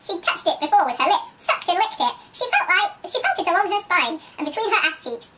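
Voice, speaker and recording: A woman's voice: extremely high pitch, sing-song, fairly quick, pitched above the performer's own voice. Girl doing a dramatic steamy romance narrator, giggly, swoopy delivery, bit noisy and far off. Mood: amused melodrama. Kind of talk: dramatic reading of romance fiction. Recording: distant mic with faint noise.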